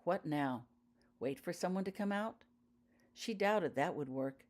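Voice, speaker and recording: Low-voiced woman narrating an audiobook. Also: expressive, a little slow, a little mellow. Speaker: author reading own fan fiction.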